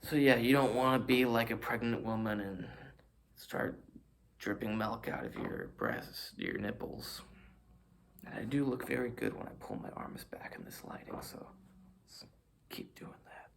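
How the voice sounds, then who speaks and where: A man's voice: mid-range voice, very animated, measured pace, vocal fry, a bit bright, in a whisper. A man vlogging about fitness, talking to a camera in front of him in a rambling, self-mocking way as he shows off poses. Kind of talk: casual rambling monologue to camera.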